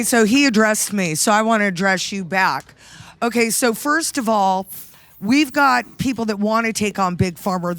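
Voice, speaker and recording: A woman presses her case insistently, hammering her numbers home by repeating them. Her pitch is middling, and the sound is dry and close. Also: fairly bright tone, no room sound. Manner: insistent, repeats figures for emphasis. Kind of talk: heated debate.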